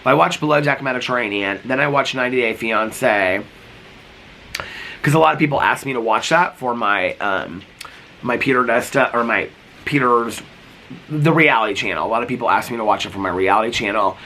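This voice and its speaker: A man's voice: mid-range voice, expressive, fairly quick, bright, forceful tone, slight background noise. A middle-aged man vlogging casually while sick, with a cough and a voice that is going. He rambles, filling his talk with "like" and restarts, sitting outdoors. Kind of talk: casual vlog chat.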